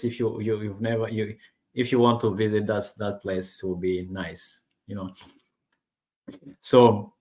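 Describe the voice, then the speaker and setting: A man from Rwanda, English not his first language, talking casually to camera with many restarts and fillers. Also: medium pitch. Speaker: online business vlogger. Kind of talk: casual personal talk to camera.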